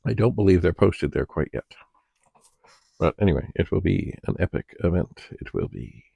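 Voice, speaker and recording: Low-voiced man rambling through a casual unboxing. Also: animated, moderate pace, gravelly, warm tone, slightly rough, dry recording. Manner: rambling, thinking aloud. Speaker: video host.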